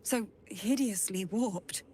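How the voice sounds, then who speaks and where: An actress playing a grieving young woman brought back from death in a fantasy video game, speaking in a slow, hushed, halting way. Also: medium pitch, slight background noise, lightly acted.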